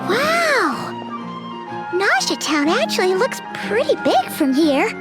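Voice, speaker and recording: A woman's voice: extremely high pitch, wildly swooping pitch, slow, full character voice. A woman voicing a tiny, chatty female companion sprite who talks about herself in the third person, in video game dialogue with music behind. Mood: fretful.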